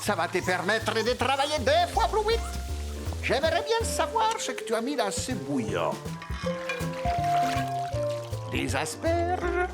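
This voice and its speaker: A man voicing an excitable Italian chef in a cartoon, with an Italian accent and a theatrical, exclamatory, sing-song way of talking. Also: very high voice, moderate pace, over loud music, exaggerated voice. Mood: cheerful. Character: excitable male Italian chef, cheerful and fussy.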